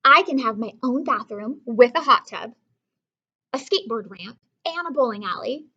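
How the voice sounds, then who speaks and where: Storybook schoolboy, acted by a woman, high and bright, self-important, pleading, emphatic, persuasive. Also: lively intonation, normal speed, slightly rough, lo-fi sound, heavily acted. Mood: excited. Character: persuasive, self-important school-age boy writing to his dad. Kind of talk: storybook read-aloud.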